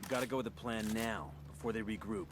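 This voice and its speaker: An actor playing a special forces squad leader who talks in clipped, tactical lines. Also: male voice, lowish voice, quick pace, lightly acted. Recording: game audio with very loud background noise.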